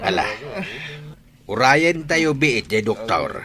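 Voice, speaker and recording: A man acts a concerned helper in a radio drama, practical and matter-of-fact. His bright voice moves up and down in lively swings. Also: mid-range voice, takes it slowly. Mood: concerned, instructive.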